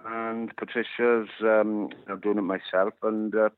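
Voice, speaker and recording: A man's voice: fairly low pitch, little pitch movement, unhurried, clean tone, no room sound. A Welsh man in his fifties, a musician whose first language is Welsh, speaking unhurriedly with many you knows and uhs. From West Wales. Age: 50s. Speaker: musician guest. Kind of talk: interview over a phone line. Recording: dry, tinny phone line.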